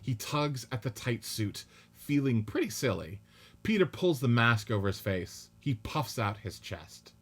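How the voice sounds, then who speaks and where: A man narrating stage directions in a measured, matter-of-fact way during a radio play script reading. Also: mid-range voice, expressive.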